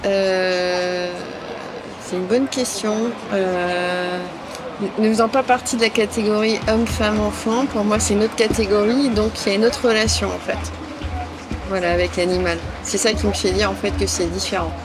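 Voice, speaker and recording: A woman's voice: medium pitch, steady tone, moderate pace, over music. A woman from France answering questions in a street interview outdoors at a summer event, hesitant, with many fillers and restarts. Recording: outdoor event with crowd noise. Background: crowd chatter.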